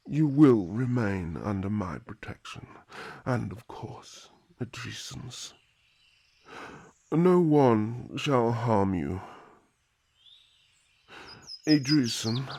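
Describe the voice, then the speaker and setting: A man playing an older elf High King in a fantasy ASMR roleplay, calm, formal and soothing, often dropping to a whisper. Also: vocal fry, slightly rough, no echo, exaggerated voice. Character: regal elf High King, calm, kindly, protective father.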